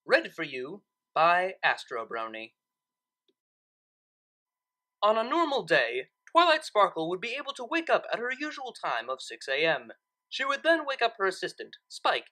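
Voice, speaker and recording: Enthusiastic, bright-voiced woman host. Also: medium pitch. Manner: enthusiastic, emphatic. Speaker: channel host and story reader. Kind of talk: casual vlog-style update and story narration.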